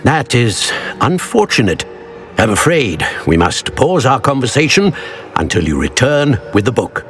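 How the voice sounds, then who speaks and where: Game casting: an elderly wizard mentor, grave and formal, lower-middle voice with very varied intonation, faint music. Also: male voice, sing-song, unhurried, a bit bright. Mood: guarded, formal. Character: dignified, guarded old male wizard professor in a portrait. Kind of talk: video game character dialogue.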